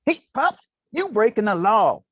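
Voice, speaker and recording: A man's voice: high voice, big pitch swings, a little slow, a bit bright, husky, heavily acted. A man playing a prim, needling old neighbor. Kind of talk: table read acting.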